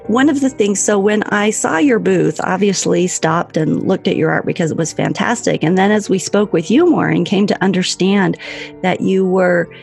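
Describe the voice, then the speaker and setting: A woman's voice: medium pitch, normal speed, creaky, darkish tone, faint music behind. A woman hosting a podcast, asking questions in an enthusiastic, encouraging way. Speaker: podcast host. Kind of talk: interview hosting.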